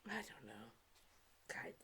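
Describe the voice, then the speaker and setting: A woman's voice: very deep voice, sing-song, slow, sharp tone, breathy edge. A young woman co-hosting a casual comedic read-aloud, breaking off for giggly, incredulous asides. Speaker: co-host reading book excerpts aloud.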